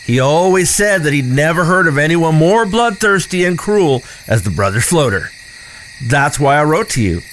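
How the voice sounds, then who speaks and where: Man acting a defiant, highish-voiced frontier kid. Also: big pitch swings, normal speed, fairly bright tone, a little hiss or hum, low-quality recording. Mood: blunt. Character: foul-mouthed, defiant young frontier boy. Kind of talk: Western audio drama acting.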